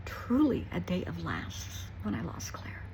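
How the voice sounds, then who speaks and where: A woman's voice: lowish voice, huge pitch swings, a little slow, gravelly, darkish tone, a little hiss or hum. A middle-aged woman giving a reflective personal talk to listeners, earnest and emphatic, repeating short phrases for weight. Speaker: therapist and nurse.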